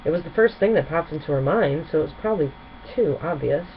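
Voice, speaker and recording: A woman narrating a children's story in an expressive storytelling style. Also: low voice, fast talker. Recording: dull sound with faint background noise.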